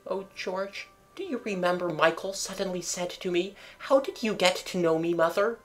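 Reader doing a soft, placid storybook mother. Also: medium pitch, fairly even intonation, a little slow, faint music behind, close to an everyday voice. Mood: wistful. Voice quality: soft, hushed. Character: gentle placid mother, grown woman.

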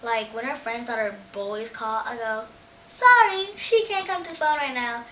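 A woman's voice: a little high, moderate pace, sharp tone. A ten-year-old girl playing a gleefully bratty, teasing little sister in a comic stage monologue. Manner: gloating, exaggerated, playful. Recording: echoey hissy room, distant mic.

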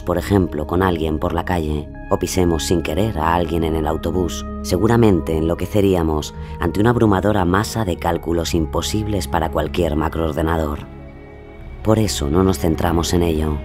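A man from reading scripted dramatic narration solemnly, with deliberate pauses. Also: sits low.

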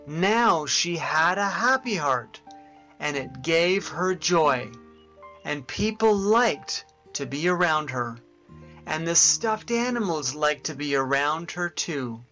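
An adult gently tells a children's story for a church service, voiced over pictures. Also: mid-range voice.

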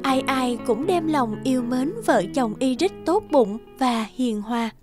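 A woman narrates a children's fairy tale in a high voice. Her scripted storytelling plays over background music. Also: measured pace.

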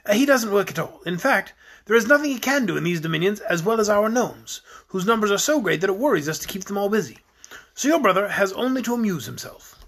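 A modest gnome courtier from a children's storybook, voiced by a man, fairly high for a male. He is obliging and deferential, a little hesitant in his answers. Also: lively intonation, fast talker, a bit bright, heavily acted. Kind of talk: storybook character voice.

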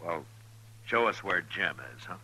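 An actor playing a calm, steady frontier lawman in a western radio drama, terse and plainspoken. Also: sits low, a little slow, slightly rough, heavily acted. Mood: calm, questioning. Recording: noisy 1950s radio recording.

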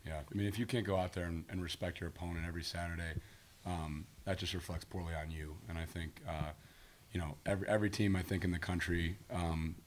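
A man's voice: deep voice, fairly even intonation, fast talker. An American man in his twenties, a college football player answering at a press conference. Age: 20s. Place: indoor press conference room. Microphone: table microphone. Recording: faint hiss.

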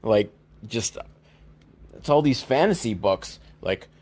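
A man's voice: lowish voice, lively intonation, slow pace, a little mellow, slightly rough. A man hosting a comedy podcast, recapping films in a casual, rambling, sweary, mock-exasperated way. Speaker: podcast host.

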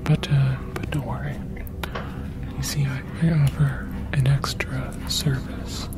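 A woman voicing a soothing alien psychic fortune teller in a gentle, breathy whisper for an ASMR roleplay. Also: very deep voice, level tone. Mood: gentle, reassuring. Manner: slow, gentle, reassuring. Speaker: ASMR performer.

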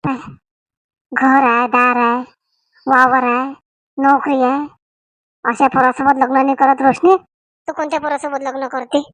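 A woman's voice: high-pitched, level tone, measured pace, pauses often, a bit bright, dry recording, poor audio. A woman voicing a nagging, insistent village mother in a family comedy cartoon. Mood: scolding, exasperated. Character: bossy, nagging village mother arranging her daughter's wedding.

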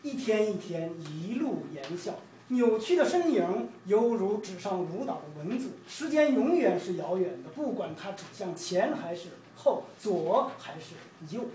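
A man's voice: high voice, sing-song, moderate pace, warm tone. Man from China reciting poetry, echoey room. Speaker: poet reading own work. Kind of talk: poetry reading. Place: room with large windows, indoors. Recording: distant roomy event recording, background noise.

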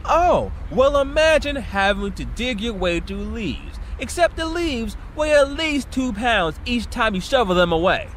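A man's voice: extremely high pitch, big pitch swings, measured pace, few pauses, fairly bright tone, a little hiss or hum, exaggerated voice. An actor playing an earnest, wry teenage boy who is an amateur detective in an audio drama, casual and youthful. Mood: exasperated, earnest. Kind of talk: audio drama narration and dialogue.

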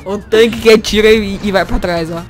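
A young man with a Brazilian accent, casually commentating a video game, joking, excitable and swearing a lot. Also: high voice, fairly quick. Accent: Brazilian Portuguese. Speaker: host. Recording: game music behind.

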